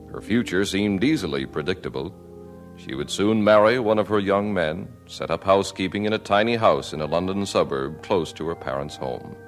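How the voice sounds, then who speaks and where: A man narrating a scripted radio drama in a measured, formal storytelling manner. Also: deep voice. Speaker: narrator and announcer.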